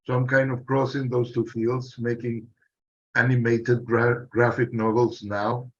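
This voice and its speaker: A man, a filmmaker and animator, introducing his work on a panel in a halting way with restarts. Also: mid-range voice, level tone. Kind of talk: casual panel self-introduction. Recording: muffled lo-fi call audio.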